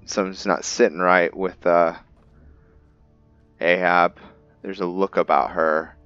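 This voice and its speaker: A man's voice: low voice, flat delivery, measured pace, fairly bright tone, smooth, no room sound. A man giving casual roleplay commentary on a game, thinking aloud and slipping into character. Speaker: gaming host. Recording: dull lo-fi mic, music behind.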